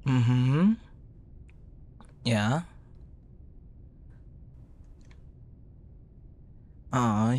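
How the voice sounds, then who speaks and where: A man plays a young Filipino boyfriend in an intimate ASMR roleplay, affectionate and protective. His speech is slow and very lively in pitch, moving between playful teasing and tender, soothing murmurs. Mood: gentle concern.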